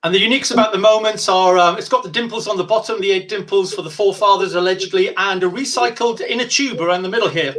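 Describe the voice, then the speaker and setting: A man's voice: sits high, fast talker, few pauses, fairly bright tone. A man presenting whisky deals in a chatty live stream, rambling with lots of fillers and restarts. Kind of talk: casual live-stream sales chat.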